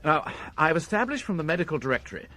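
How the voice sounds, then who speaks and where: An actor playing a methodical, courteous British police inspector in a radio crime drama, speaking in a measured, formal and precise way. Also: male voice, on the high side, very animated, sharp tone. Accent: British English. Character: methodical, courteous male police inspector reporting his findings.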